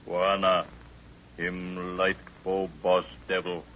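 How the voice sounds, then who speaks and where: An actor playing a huge, loyal, superstitious Maasai prince in halting pidgin English in a 1930s radio drama. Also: male voice, low voice, monotone, a bit bright, slight background noise. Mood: awed, warning. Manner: halting pidgin English mixed with African words. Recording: dull lo-fi 1930s radio recording.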